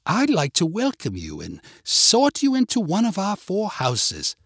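Guy doing a prim british teacher lady, earnest, lecturing, pitched up, very swoopy. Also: wildly swooping pitch, a little slow, creaky, fairly bright tone, slightly rough, no room sound, studio quality, heavily acted. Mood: welcoming. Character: earnest young woman, prim British schoolteacher act, easily flustered.

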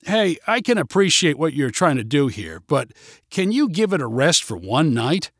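A frank, urging mother in a storybook , played by a male reader. The voice sits fairly high, moves up and down a lot and has a husky grain. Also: big pitch swings, moderate pace, a bit bright, no room sound, clean studio sound. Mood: frank, weary. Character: frank adult woman, parent of young trick-or-treaters.

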